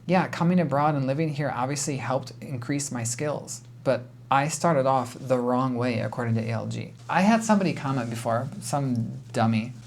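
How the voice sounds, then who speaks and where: A man's voice: medium pitch, gravelly, a little mellow, slight background noise. An American man giving casual reaction commentary, talking in a rambling, conversational and often exasperated way. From United States. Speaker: YouTube host reacting to a video.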